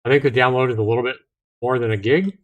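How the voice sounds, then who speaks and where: A man's voice: medium pitch, steady tone, very fast, highs cut off. A man hosting a live stream, chatting casually and reading on-screen text aloud as he comments on a game. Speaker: live streamer, show host. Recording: music under the voice. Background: music.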